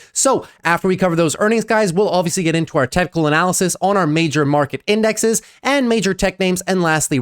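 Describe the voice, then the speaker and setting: A man with a fairly high voice runs through the day's stock market recap. He has a cold and sounds a little congested. His delivery is upbeat and brisk, and he keeps calling his viewers "guys.". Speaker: stock market video host. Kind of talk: scripted market recap commentary.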